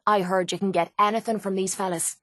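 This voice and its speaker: A woman's voice: mid-range voice, fairly even intonation, brisk, no echo, studio quality, lightly acted. A woman playing a cynical, defiant young female brawler in a video game, with an Irish accent and a blunt, sardonic, slangy delivery. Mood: sardonic, irritated. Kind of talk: video game character dialogue.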